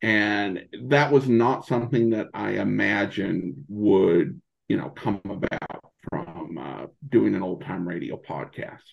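A man chatting casually as a podcast interview guest, speaking haltingly with repeated false starts. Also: fairly low pitch, vocal fry, muffled recording.

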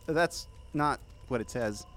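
A man's voice: medium pitch, slow pace, fairly bright tone, slight background noise. A man playing a paranoid young man in an audio drama, nervous and conspiratorial in the way he talks.

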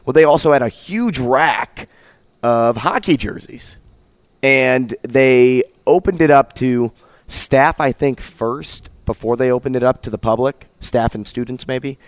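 A man with a slightly hoarse voice, co-hosting a casual sports talk show and telling a story with easy laughs. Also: mid-range voice, measured pace, no room sound. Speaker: radio co-host. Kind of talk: casual chat.

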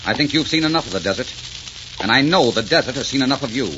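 A crackly, muffled old radio recording carries a man acting as a proud, hot-tempered desert sheik. He gives orders imperiously and teases with assurance, his pitch swinging widely in true 1940s romantic style. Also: lively intonation, fast, close to an everyday voice. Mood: grave, bitter. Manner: imperious, teasing, clipped commands.

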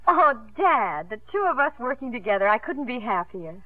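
A woman's voice: fairly high pitch, very animated, normal speed. An actress playing a proud, earnest young woman, a swimming star, in a 1950s radio drama. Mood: gracious, happy. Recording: tinny, band-limited 1950s radio broadcast.

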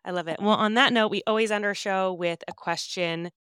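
Upbeat, smooth-voiced woman podcast host. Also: medium pitch, steady tone, a little fast, fairly bright tone, dry recording, clean studio sound. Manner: upbeat, affirming, chatty with many fillers. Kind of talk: casual interview.

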